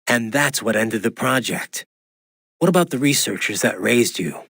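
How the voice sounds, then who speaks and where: Gravelly, wry cyborg soldier. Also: male voice, medium pitch, lively intonation, normal speed, a bit bright, exaggerated voice. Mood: wry, casual. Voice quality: gravelly, raspy. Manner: laconic, dry, informal. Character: gruff, wry cyborg soldier, casual and tough. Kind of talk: scripted video game voice acting.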